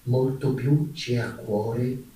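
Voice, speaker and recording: A man playing a solemn pope in a stage monologue, reading out a formal letter in a stately, measured manner. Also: mid-range voice, warm tone, pitched below the performer's own voice, close to an everyday voice. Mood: solemn, insistent. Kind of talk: theatrical reading of a historical letter. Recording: echoey room, distant mic, faint noise.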